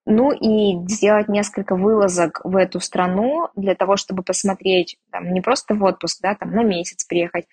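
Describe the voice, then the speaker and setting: A woman's voice: mid-range voice, steady tone, moderate pace, hardly any pauses, darkish tone, slightly rough. A woman from Russia chatting frankly and casually in an interview, joking about herself. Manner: frank, chatty, self-mocking. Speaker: interviewee, emigrant. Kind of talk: candid casual chat.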